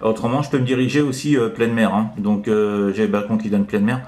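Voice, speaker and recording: A man chatting casually as a radio operator, in a halting, repetitive way full of verbal tics. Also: fairly low pitch, steady tone, darkish tone, roomy sound. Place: indoors in an apartment by a window.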